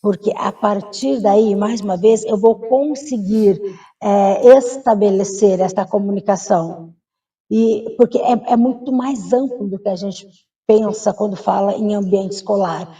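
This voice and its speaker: A woman's voice: mid-range voice, a little slow, warm tone, far from the mic. A woman speaking as a guest expert, explaining patiently with rhetorical questions and hesitant fillers. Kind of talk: studio discussion.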